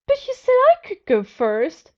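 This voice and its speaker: A woman's voice: very high voice, huge pitch swings, measured pace, highs cut off, voice pushed up, exaggerated voice. A woman voicing a hot-tempered, sarcastic tomboy in a story reading, with a whiny, scornful manner. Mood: whining.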